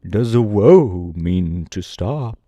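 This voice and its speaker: A man voicing a humble, simple-minded wooden sawhorse come to life, talking in a slow, wondering drawl. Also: on the low side, sing-song, warm tone, no room sound, highs cut off, heavily acted.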